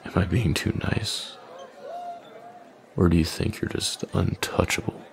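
Man playing a very gravelly, deep-voiced young bully. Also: steady tone, some chatter behind, slight background noise. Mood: taunting threat. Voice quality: deep, gravelly, breathy at line ends. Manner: taunting, deliberate, drawling threats. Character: menacing young male bully, smug, possessive and mocking. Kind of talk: boyfriend ASMR audio roleplay, intimate slow menace.

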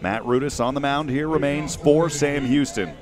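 A man's voice: a little high, normal speed, a crowd in the background. Male baseball play-by-play announcer outdoors. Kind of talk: live sports commentary. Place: outdoors at a windy ballpark. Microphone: headset boom mic.

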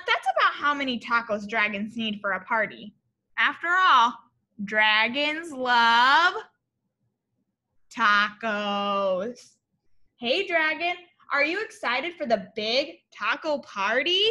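A young woman reading a picture book to children as a teacher, in an expressive, exaggerated way, often addressing her young listeners with questions. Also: high voice. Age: young adult. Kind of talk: animated children's story read-aloud. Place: classroom, indoors.

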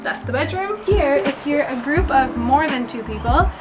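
A woman's voice: sits high, animated, moderate pace, poor audio. Chatty young woman giving a house tour. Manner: chatty, enthusiastic, lots of "like". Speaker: travel vlogger. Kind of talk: casual house-tour vlog. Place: holiday cottage, partly outdoors. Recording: loud music under the voice.

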